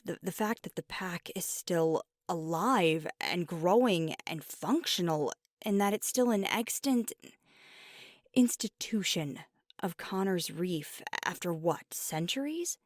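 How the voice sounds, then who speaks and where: An earnest, devoted werewolf girlfriend played by a woman in an intimate ASMR audio roleplay. Her voice sits on the low side and comes through dry and close. She takes her time, choosing words with hesitant, conversational sincerity. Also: fairly even intonation, slow pace, no room sound, full character voice. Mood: passionate, conflicted. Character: devoted, earnest young werewolf girlfriend weighing big news.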